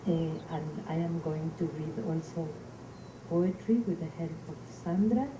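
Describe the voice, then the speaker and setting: Mexican accented woman, lowish voice, real slow and halting, lots of uh, echoey noisy hall. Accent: Mexican Spanish. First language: Spanish. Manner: halting, many fillers and restarts. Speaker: invited poet. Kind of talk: speaking to a live gathering. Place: community hall.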